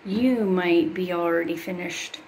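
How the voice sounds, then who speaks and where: A woman's voice: on the low side, a little slow, a little mellow, slight background noise, rough recording. A middle-aged woman, a music teacher, chatting upbeat to her students as she walks through her house with her phone. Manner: chatty, upbeat, talks directly to viewers. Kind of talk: casual home tour vlog to students. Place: small home rooms, indoors. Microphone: phone camera held by hand.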